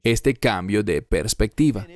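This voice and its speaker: A man dubbing an earnest Bible teacher in his fifties, delivering a verse-by-verse sermon with emphatic, deliberate phrasing. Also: on the low side, animated, darkish tone, no echo.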